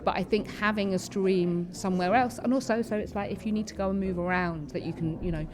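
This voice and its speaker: A woman's voice: mid-range voice, fast. A woman speaking conversationally as an interview guest, with involuntary vocal tics that can interject stray words. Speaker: panellist and theatre-maker being interviewed. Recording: busy venue with crowd chatter behind. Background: crowd chatter.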